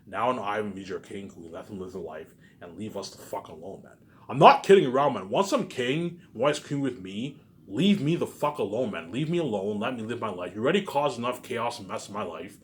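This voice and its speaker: A man's voice: fairly low pitch, animated, fairly quick, slight background noise. A man in an agitated, confrontational unscripted rant, repeating himself and swearing often. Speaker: online video maker.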